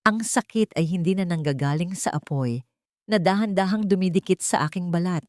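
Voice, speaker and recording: A woman reading a dramatic first-person audiobook story in a deliberate, emotive way, phrase by phrase. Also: lowish voice, a little mellow, dry recording, studio quality. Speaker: audiobook narrator.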